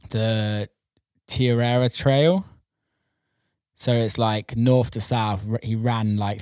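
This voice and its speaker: A man hosting a podcast, asking curious questions in an easygoing, casual way. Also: lowish voice. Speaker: podcast host.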